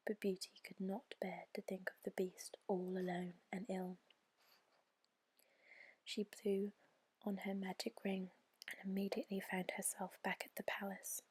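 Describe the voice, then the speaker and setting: A woman whispering a bedtime story in a soft, breathy, unhurried and soothing ASMR style. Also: mid-range voice, level tone. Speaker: storyteller, ASMR channel host.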